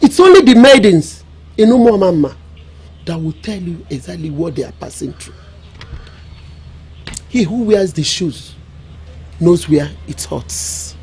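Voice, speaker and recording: A woman's voice: on the low side, expressive, a little slow, long pauses, darkish tone, a little hiss or hum. An actress playing a middle-aged village mother with a Nigerian Igbo accent, giving frank, admonishing counsel in a film drama. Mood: knowing. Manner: proverbial, admonishing, emphatic. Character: worldly-wise village mother counselling her daughter, frank and knowing.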